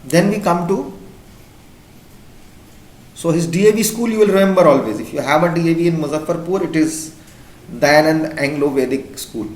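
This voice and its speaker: A male teacher with an Indian English accent, a native Hindi speaker, giving a classroom lecture. He repeats his points and keeps checking in with the class using quick questions. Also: a little high. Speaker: school teacher. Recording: echoey room.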